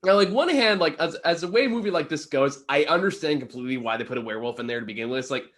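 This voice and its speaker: A man's voice: fairly high pitch, lively intonation, racing, fairly bright tone. A man co-hosting a casual film review, chuckling and swearing as he talks. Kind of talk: casual movie review chat.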